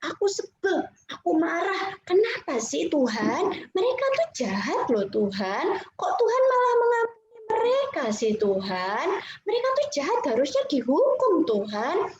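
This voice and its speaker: Lady doing a whiny sulky prophet guy from a kids bible story, high pitched, noisy echoey recording. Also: huge pitch swings, unhurried, exaggerated voice. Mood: sulky, angry. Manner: whiny, complaining. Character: petulant, whining male prophet sulking at God. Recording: muffled, echoey room with background noise.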